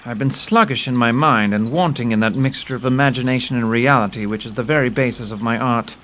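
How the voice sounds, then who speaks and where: A man's voice: mid-range voice, brisk, a bit bright, a little hiss or hum, lightly acted. Man acting a precise, self-assured detective. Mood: self-reproachful. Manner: precise, formal, old-fashioned address. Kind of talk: audio theatre acting.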